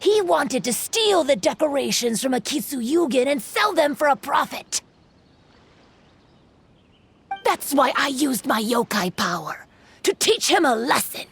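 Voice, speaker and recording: A woman voicing a sorrowful, weary yokai spirit in a video game, speaking wistfully in breathy half-whispers. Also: sits high, very animated, moderate pace, heavily acted. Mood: resentful, faint. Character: sorrowful, weary little female yokai spirit of an old paddle.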